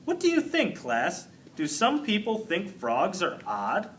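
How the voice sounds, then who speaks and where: Man voicing a brisk, animated woman schoolteacher. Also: sits high, a little hiss or hum, voice pushed up, heavily acted. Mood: encouraging. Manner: firm, instructing classroom address. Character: patient, brisk woman schoolteacher running her class.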